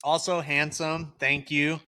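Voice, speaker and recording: Young man podcast co-host, joking and teasing, bright forward voice, dry sound. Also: a little high, little pitch movement, slow pace, no echo. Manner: joking, teasing, boastful banter. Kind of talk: casual chat.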